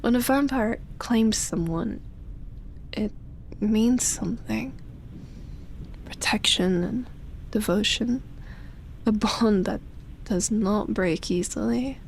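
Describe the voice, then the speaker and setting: A woman acts out an immortal vampire woman in an intimate ASMR audio drama. She speaks slowly at a medium feminine pitch, breathy and seductive, sometimes dropping to a whisper. She is teasing and possessive yet tender, with faint ambient noise behind her. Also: low-quality recording. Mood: earnest, possessive. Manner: breathy teasing, soft sighs and chuckles, whispered phrases.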